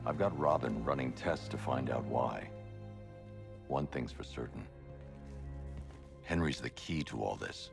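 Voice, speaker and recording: A brooding caped vigilante from a video game, with an extremely deep, gravelly voice. He talks in short, clipped lines, with music behind him. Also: a little slow, long pauses, full character voice. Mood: grim. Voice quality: gravelly, terse. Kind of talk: video game cutscene acting.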